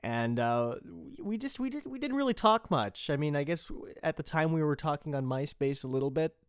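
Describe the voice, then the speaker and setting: A man's voice: a little high, expressive, brisk, clear, resonant tone, dry recording, studio quality. A man in his twenties with an American accent, chatting casually to listeners as a podcast host, rambling and self-deprecating. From California, United States. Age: 20s.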